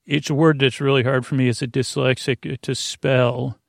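A man hosting a bedtime podcast in a creaky, lulling voice, meandering and halting through tangents and self-interruptions. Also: medium pitch, level tone, dry recording, clean studio sound. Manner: soothing, lulling. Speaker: podcast host. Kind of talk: rambling bedtime monologue to help listeners sleep.

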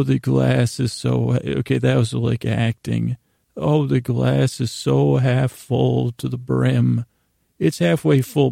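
Gravelly, meandering man lulling listeners to sleep. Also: mid-range voice, warm tone, no echo, clean studio sound. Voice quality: gravelly, creaky. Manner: meandering, halting, self-deprecating, trails off mid-sentence. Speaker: podcast host. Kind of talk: rambling bedtime monologue meant to lull listeners to sleep.

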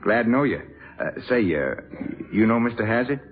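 An actor playing an earnest, headstrong young jazz trumpeter in a 1950s radio drama, boyish and stammering when flustered. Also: male voice, mid-range voice, big pitch swings, fairly quick. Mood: eager, nervous. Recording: tinny old radio broadcast, faint music.

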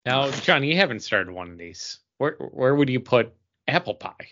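A man's voice: mid-range voice, sing-song, normal speed, fairly bright tone, muffled recording. A man co-hosting a podcast in casual group conversation. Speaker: podcast host.

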